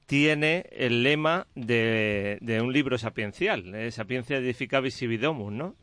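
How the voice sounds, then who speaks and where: A man's voice: mid-range voice, unhurried, a bit bright. A man co-hosting a radio interview, building up to his question in a hesitant, rambling way full of fillers. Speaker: radio co-host.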